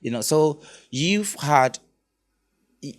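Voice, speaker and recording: A man's voice: on the high side, animated, slow. A man hosting an interview podcast, asking long questions with many restarts and fillers. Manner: hesitant, repeats himself, many fillers. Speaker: podcast host.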